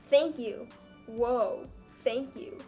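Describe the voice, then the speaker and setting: A woman voicing an excitable female cat from a silly love story, exclaiming with over-excitement. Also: fairly high pitch, very slow, faint music behind. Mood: surprised, grateful.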